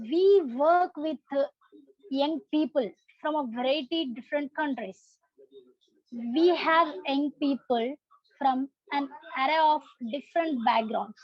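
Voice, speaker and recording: High-voiced young-parliament presenter, Indian woman. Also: no room sound. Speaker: youth parliament prime minister, presenter. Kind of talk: prepared presentation. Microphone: video call. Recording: muffled video-call audio.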